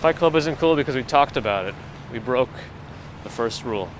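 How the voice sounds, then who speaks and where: A New man talks casually into a phone mic on a gimbal as he walks a city street. His voice sits at a middle pitch for a man. Traffic and street noise run clearly behind him. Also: a little fast. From New York. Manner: relaxed, chats with viewers while walking. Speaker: walking tour live streamer. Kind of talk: casual live-stream narration. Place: outdoors on a city street in winter. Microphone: gimbal-mounted phone mic.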